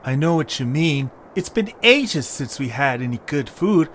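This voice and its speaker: A man voicing a small, cautious, food-loving male creature, earnest and expressive in an amateur audio drama. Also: fairly high pitch, unhurried. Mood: longing, hungry. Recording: background noise, ambience.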